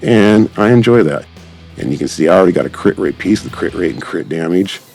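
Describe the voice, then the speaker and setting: Male gaming creator, deep voice, casual enthusiastic rambling commentary, music underneath. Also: animated, a little fast, a little mellow. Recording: background music behind the voice.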